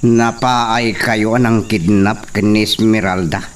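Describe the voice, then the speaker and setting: A man's voice: on the low side, steady tone, takes it slowly. A man playing an angry, domineering rich young master and villain in an audio drama, scolding imperiously. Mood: angry, commanding. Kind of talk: Ilocano radio-style drama acting. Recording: noisy with chirping insects.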